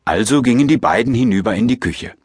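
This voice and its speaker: A man's voice: mid-range voice, lively intonation, quick pace, darkish tone, breathy edge, dry recording, studio quality, soft background music. A man reading a scripted narration of a children's story as the narrator. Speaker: audiobook narrator.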